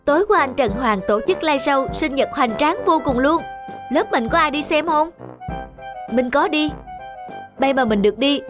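Woman as a high-pitched gushing cartoon schoolgirl. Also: lively intonation, normal speed, music in the background. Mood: excited. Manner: gushing, eager. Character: chatty, boastful, starstruck schoolgirl. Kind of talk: children's cartoon dubbing.

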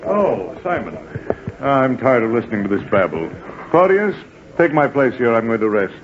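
An actor playing an impatient, haughty Roman census official in a 1940s radio drama, curt and officious. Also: male voice, mid-range voice, big pitch swings, moderate pace, background noise, natural voice. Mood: weary. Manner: curt, officious, world-weary. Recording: dull, muffled 1940s radio recording.

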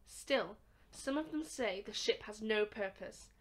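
A woman's voice: mid-range voice, lively intonation, a little slow, close to an everyday voice. A woman acting an earnest, formal young male cadet scientist in an amateur radio drama.

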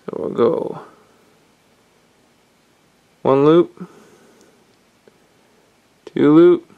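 A man calmly explaining step by step how to tie fishing knots, talking while his hands work at a table indoors. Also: on the high side, extremely slow, creaky, smooth, phone-quality sound. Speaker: fishing instructor. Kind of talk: hands-on knot-tying tutorial.

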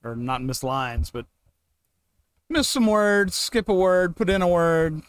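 A man's voice: high voice, animated, slow, clear, resonant tone, dry recording. A middle-aged man hosting a reaction channel, giving casual commentary into a close desk microphone.

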